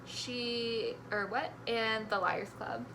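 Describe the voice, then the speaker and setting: A woman's voice: fairly high pitch, fairly even intonation, takes it slowly, a crowd in the background, rough recording. A woman speaking as a guest on a book vlog, chatting in an informal, tentative way. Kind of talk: casual book chat.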